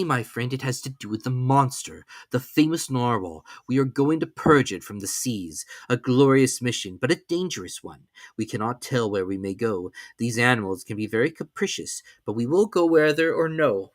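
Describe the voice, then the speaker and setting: Male reader as impatient professor in his forties, brisk orders, eager, dry close sound, storybook adventure. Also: mid-range voice, expressive, no room sound, lightly acted. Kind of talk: storybook character reading.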